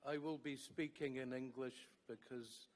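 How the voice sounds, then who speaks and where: Scottish male union official, measured, unhurried delivery. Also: medium pitch, steady tone. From Scotland. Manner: measured, with fillers. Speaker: trade union secretary, conference speaker. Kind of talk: conference speech.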